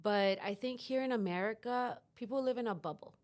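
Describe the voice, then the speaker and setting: A woman's voice: on the high side, a little fast, a little mellow. A middle-aged woman from Chile speaks as a podcast guest. She talks in a casual, reflective way about her own experiences. Her sentences often break off and restart as she searches for the right words. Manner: reflective, open, with frequent restarts. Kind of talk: casual interview talk.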